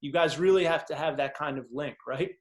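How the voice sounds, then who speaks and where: A man's voice: fairly high pitch, a little fast. A man whose first language is Czech, hosting a casual interview and telling stories with enthusiastic, slangy energy. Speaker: podcast host.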